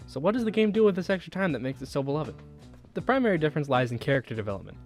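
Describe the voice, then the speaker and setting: A young man reading a scripted video essay as a student narrator, in a measured, enunciated way with rhetorical questions. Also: mid-range voice. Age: young adult.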